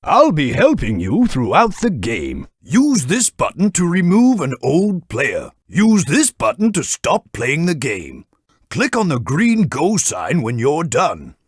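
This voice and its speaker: A man's voice: fairly high pitch, huge pitch swings, takes it slowly, husky, no room sound, studio quality, heavily acted. A man voicing a stout, kindly railway director who guides the player through a game with formal, patient, encouraging instructions. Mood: instructive. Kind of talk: game voice clips.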